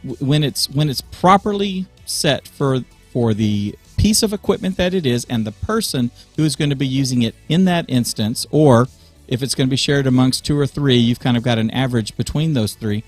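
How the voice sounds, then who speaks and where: A man's voice: mid-range voice, animated, normal speed, soft background music. A man co-hosting a casual podcast chat about church media, explaining sound setups through everyday church examples.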